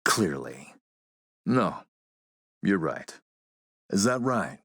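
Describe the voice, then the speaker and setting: An actor playing a ruthless middle-aged warlord in a video game, speaking in a measured, courtly, menacing way. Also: male voice, deep voice, very animated, creaky, fairly bright tone, dry recording, clean studio sound, heavily acted. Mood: suave, wry. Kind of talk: video game character voice acting.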